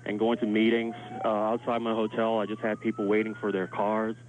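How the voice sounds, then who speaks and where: A man's voice: on the low side, monotone, fairly quick. A man giving an informal live eyewitness account by phone, in loose phrasing full of 'like', as a hotel worker. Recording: dull noisy phone line, sirens behind. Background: alarms and emergency sirens.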